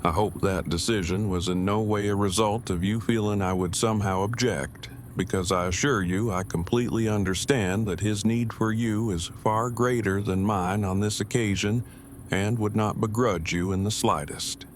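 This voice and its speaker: A man playing a gentle, devoted partner who speaks in precise, verbose, formal phrases in an audio drama. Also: on the low side, fairly even intonation, normal speed, slight background noise, lightly acted. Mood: sympathetic, earnest.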